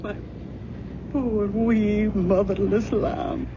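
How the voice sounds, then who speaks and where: A woman's voice: medium pitch, level tone, very slow. A woman playing an anxious, pious, tender older woman in an old radio drama, with a mournful, hesitant delivery. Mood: grieving, resigned. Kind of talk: vintage radio drama acting. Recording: muffled, noisy old radio recording.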